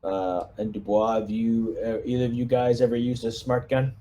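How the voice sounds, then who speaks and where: A man talking casually through a tabletop roleplaying game, giving squad orders in a halting way. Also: mid-range voice, little pitch movement, warm tone, slight background noise.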